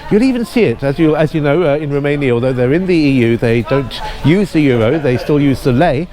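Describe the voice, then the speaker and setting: A man's voice: a little high, lively intonation, brisk, mellow. Hesitant male presenter outdoors at football. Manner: hesitant, full of restarts and ums, apologetic. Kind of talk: informal presenting to camera.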